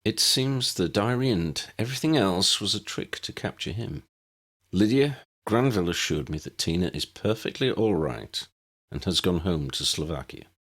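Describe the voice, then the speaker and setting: A man voicing a weary old wizard in an audiobook story, grave and courteous, with formal, measured phrasing. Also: low voice, animated, a bit bright, exaggerated voice. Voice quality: cracked voice.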